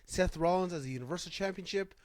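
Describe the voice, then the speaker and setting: A man with a slightly higher-pitched voice, recorded close and dry. He hosts a loose, casual fan discussion, talking informally and feeling his way through sentences. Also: fast, a little mellow, dry recording. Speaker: podcast host. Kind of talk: casual chat about pro wrestling.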